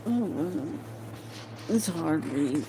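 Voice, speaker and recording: Woman, amateur reader, slow pace, stumbling delivery, casual muttered asides, noticeable background noise. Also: low voice, animated, dark tone. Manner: halting, stumbling over words, muttering to self. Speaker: amateur reader of a novel aloud. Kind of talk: informal read-aloud with casual asides.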